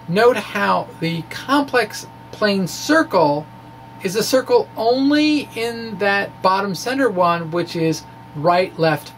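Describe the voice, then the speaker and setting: A man's voice: sits high, slight background noise, poor audio. A man reading his science blog aloud as an informal explainer, with quirky, emphatic phrasing. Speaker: science blogger presenting physics puzzles. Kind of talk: dramatic reading of a physics blog with asides.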